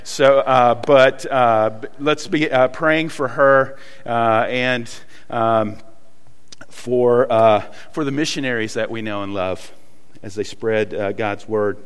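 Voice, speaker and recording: A man with a Southern US accent preaching a sermon, telling folksy stories with many pauses and fillers. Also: medium pitch, steady tone, smooth, long reverb tail. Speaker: pastor. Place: church hall.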